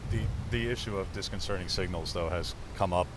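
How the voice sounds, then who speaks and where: Deep, fast-talking reporter. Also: male voice, noisy background. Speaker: sports reporter. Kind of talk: press conference question.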